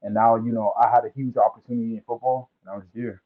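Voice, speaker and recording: A man's voice: medium pitch, little pitch movement, quick pace. Young man on a tinny call line. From American Samoa. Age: young adult. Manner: laid-back, many "you know" fillers. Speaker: football recruit guest. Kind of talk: casual interview.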